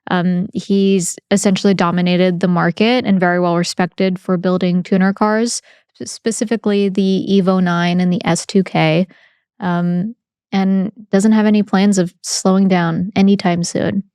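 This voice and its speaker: A woman's voice: medium pitch, fairly even intonation, normal speed, a little mellow, clean tone, no room sound, studio quality. A woman co-hosting a podcast, upbeat and chatty as she introduces the guest. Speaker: podcast co-host. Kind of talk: casual interview chat.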